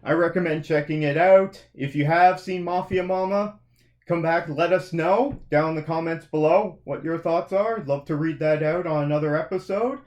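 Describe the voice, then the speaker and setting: A man's voice: on the high side, normal speed, darkish tone, lo-fi sound. Chatty man reviewing movies, rambling. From Canada. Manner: rambling, self-correcting, chatty with frequent restarts. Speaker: podcast co-host reviewing movies and TV. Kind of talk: casual review chat.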